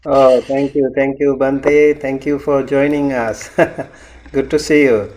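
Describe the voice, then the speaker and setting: A man's voice: medium pitch, little pitch movement, slow, a little mellow, poor audio. A man teaching a class as a monk, welcoming students over a video call with frequent ums and light laughs.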